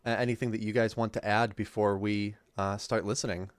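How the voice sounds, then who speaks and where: A man's voice: on the low side, flat delivery, brisk, dry recording. A man hosting a casual group commentary, speaking informally into a close mic. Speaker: host.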